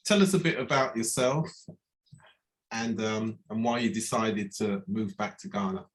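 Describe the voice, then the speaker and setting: A man's voice: on the low side, normal speed, low-quality recording. A man hosting a casual interview over a video call, friendly, with many fillers and brief acknowledgements. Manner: friendly, lots of fillers and backchannel. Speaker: interview host.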